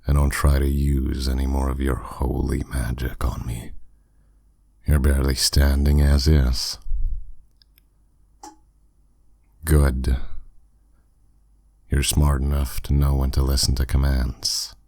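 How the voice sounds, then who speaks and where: A man's voice: very deep voice, little pitch movement, measured pace, vocal fry, dark tone, no room sound, clean studio sound, exaggerated voice. A man playing a dominant, suave demon lord in an intimate roleplay audio drama, speaking in a commanding, condescending, teasing way. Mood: calm, menacing.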